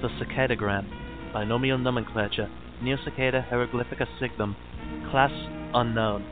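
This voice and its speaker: A man reading scripted mock-documentary narration in a formal, measured, clinical manner. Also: medium pitch, steady tone. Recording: band-limited lo-fi audio with background music. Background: music.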